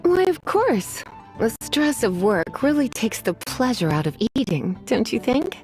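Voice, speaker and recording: Sultry teasing librarian lady for a video game, drawly, high lilting voice, music underneath. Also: sing-song, measured pace, a little mellow. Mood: teasing, flirtatious. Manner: sultry, drawling, sighing delivery.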